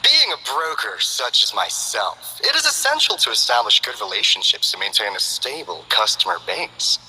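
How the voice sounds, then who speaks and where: Slick chummy info broker, teasing, voice jumps all over, a bit of reverb. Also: male voice, mid-range voice, very animated, normal speed, sharp tone, slight background noise. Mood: breezy. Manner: breezy, teasing, salesman-like banter. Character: sly, smooth-talking male info broker, friendly. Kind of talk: game character voice lines.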